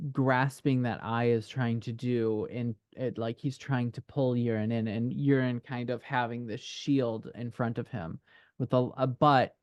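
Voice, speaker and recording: Man coaching casually, halting and thoughtful. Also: medium pitch, a little mellow, no room sound. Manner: thinking aloud, halting, hedging phrasing. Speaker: dialogue writing coach. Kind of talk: casual one-on-one coaching conversation.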